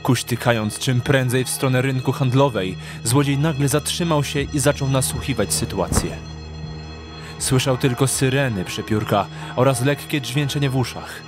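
Slightly higher-pitched male dramatic narrator. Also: moderate pace. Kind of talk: dramatic scripted audiobook narration. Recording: clear music behind the narration.

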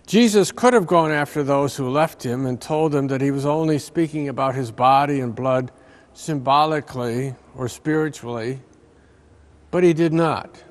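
An older man, a priest, reading a scripted reflection aloud from a book in a slow, measured way inside a large stone church. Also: a little high.

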